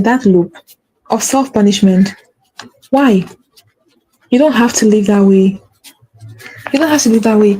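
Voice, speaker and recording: A woman's voice: medium pitch, warm tone, slight background noise, low-quality recording. A woman with a stutter hosting a faith-based motivational talk, repeating her key phrases for emphasis. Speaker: podcast host.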